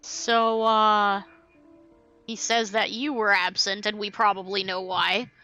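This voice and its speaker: A woman's voice: mid-range voice, flat delivery, takes it slowly, bright, forceful tone, faint music behind. A woman streaming a video game, chatting casually and answering her chat. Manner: chatty, reads chat aloud. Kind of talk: casual live-stream commentary over a game.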